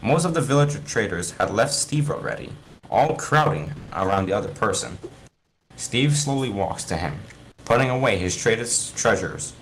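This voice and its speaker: A man's voice: on the low side, very animated, normal speed, vocal fry, a bit bright, slight background noise, poor audio. A man reading a fan fiction story aloud informally, stumbling over words and correcting himself. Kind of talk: informal read-aloud narration among friends.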